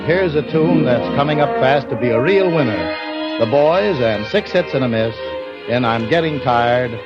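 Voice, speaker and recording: A man's voice: medium pitch, lively intonation, moderate pace. A man announcing a musical number in 1940s radio style. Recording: dull vintage radio recording with music.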